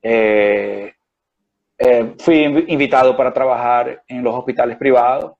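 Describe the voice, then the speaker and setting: A man's voice: mid-range voice, steady tone, a little slow, vocal fry, lo-fi sound. A man with a Venezuelan accent, a guest doctor explaining things in a rambling way with many restarts and fillers. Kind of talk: conversational interview.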